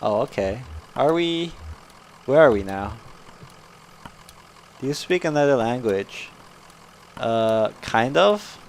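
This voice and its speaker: A man's voice: mid-range voice, lively intonation, takes it slowly, vocal fry, long pauses, slight background noise. A man streaming a story game, chatting casually, laughing at his own jokes and reading game text aloud.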